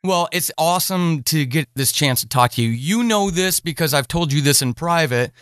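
A man's voice: a little high, lively intonation, normal speed, fairly bright tone, clear, resonant tone, no room sound, studio quality. A man hosting a conversational podcast interview in an enthusiastic, effusive manner.

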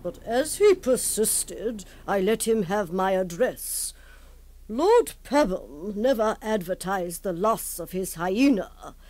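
An actress playing an imperious, unflappable aristocratic baroness in a radio drama, haughty and drily exasperated. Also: female voice, medium pitch, big pitch swings, darkish tone. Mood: wry. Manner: haughty, brisk commands, dry understatement. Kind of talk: radio drama acting and wry first-person narration.

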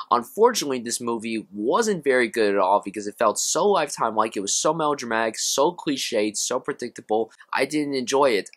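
A man's voice: medium pitch, a bit bright. A young American man of about college age, talking casually and conversationally to camera as an opinionated movie reviewer. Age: young adult, around college age. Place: small room at home, indoors.

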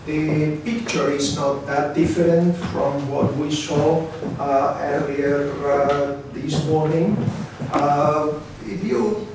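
A man in his sixties from Spain with a Spanish accent, giving a seated conference presentation with a halting, hesitant delivery. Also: a little high, steady tone. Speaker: academic speaker, research council member. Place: seminar room, indoors. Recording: very echoey room, distant mic, faint noise.

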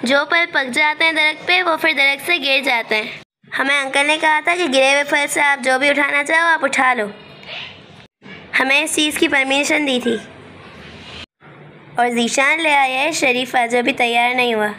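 A young woman narrates her vlog. Her voice is high and bright, and the amateur phone recording carries faint noise behind her. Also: steady tone, moderate pace. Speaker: vlogger.

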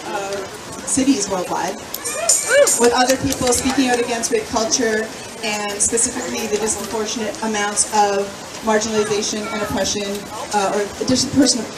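A young woman organizer giving an earnest public speech at an outdoor rally, halting now and then with fillers and restarts, heard through a handheld mic and speakers. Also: mid-range voice. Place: outdoor city square at a rally. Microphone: handheld mic through speakers, filmed from far off. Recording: echoey PA sound from far off, loud crowd noise.

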